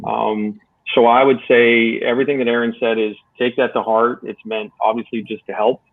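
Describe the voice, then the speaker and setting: A man's voice comes through a thin, phone-like channel at a middling pitch. He talks casually and encouragingly, explaining things step by step as a judge giving feedback. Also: level tone, moderate pace. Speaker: contest judge. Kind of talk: casual spoken critique and advice.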